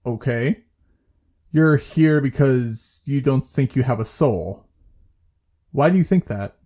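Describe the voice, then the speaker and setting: A man playing a calm, patient therapist in an audio drama, asking questions in a measured, gentle way. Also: mid-range voice. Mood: probing. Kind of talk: naturalistic audio drama dialogue.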